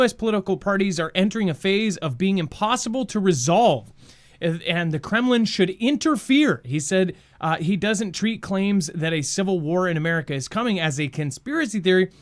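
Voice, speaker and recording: A man hosting a news show with conversational commentary, speaking informally and straight to his viewers. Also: high-pitched. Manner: informal, addresses viewers directly.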